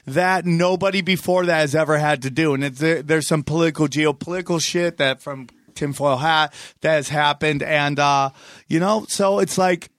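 A man in his forties from New York, a comedian telling rambling, joking stories on a podcast with sudden loud bursts. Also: a little high, normal speed, no room sound, studio quality. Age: 40s. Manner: rambling, jokey storytelling with loud excited bursts. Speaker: comedian guest. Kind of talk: casual podcast chat.

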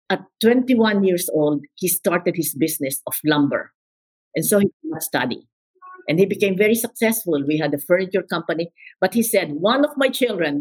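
A woman's voice: lowish voice, darkish tone, breathy edge, poor audio. An older woman with a Filipino accent, telling her life story as an interview guest in an emphatic, repeating way. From Philippines. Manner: emphatic, repeats words, quotes others.

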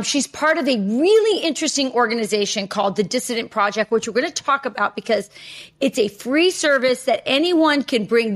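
Chatty woman podcast co-host. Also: fairly high pitch. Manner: chatty, with restarts and filler words. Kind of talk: conversational interview hosting.

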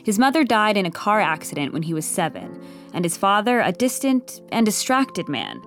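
A woman narrates a scripted audio drama. Her mid-range voice is full of movement as she tells the story in a measured, wry way. Faint music runs underneath. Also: medium pitch, sing-song.

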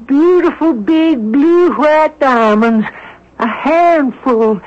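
High-pitched, fussy old woman. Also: slow pace. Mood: excited, awed. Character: man posing as a fussy, excitable old woman. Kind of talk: radio drama acting. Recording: dull lo-fi radio broadcast.

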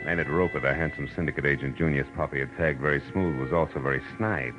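An actor playing a hard-boiled, wry private detective in old-time radio noir, speaking in a tough, clipped way. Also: male voice, a bass voice, fast talker. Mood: tense, wry. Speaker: actor narrating and starring in a radio drama. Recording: dull old radio broadcast with music.

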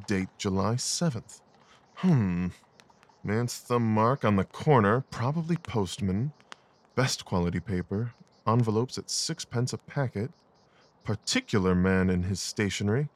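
A man playing a brilliant, coolly rational gentleman detective in an audio drama, speaking in a precise, clipped, analytical way. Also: lowish voice. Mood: brisk, analytical.

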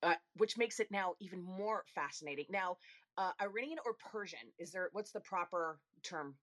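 Gushing excited woman co-host. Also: fairly low pitch, normal speed. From Italy. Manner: gushing, excited, lots of fillers. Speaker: show co-host. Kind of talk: casual chat.

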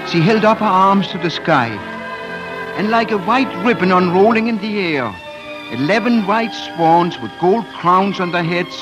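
A man's voice: on the high side, poor audio. A man narrating a storybook tale in a lyrical, dramatic 1940s radio style. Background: music.

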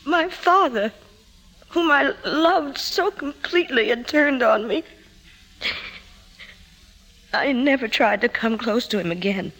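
An actress playing a troubled woman confessing painful memories in an emotive, halting way, in 1950s radio drama style. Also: high voice, expressive, fairly bright tone, heavily acted, poor audio. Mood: hurt, remembering. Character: troubled career woman confiding painful childhood memories to her doctor. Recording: 1950s radio broadcast, music behind.

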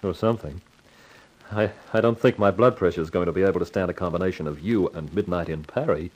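A man's voice: low-pitched, fast talker, darkish tone, rough recording. An actor playing a wry, flippant advertising man who narrates a 1950s radio crime drama. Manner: wry, flip, hardboiled.

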